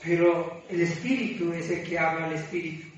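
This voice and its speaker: A man preaching informally, exhorting and confessing, halting and self-deprecating, often repeating his phrases. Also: on the high side, a little hiss or hum. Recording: echoey room, distant mic, muffled, music behind.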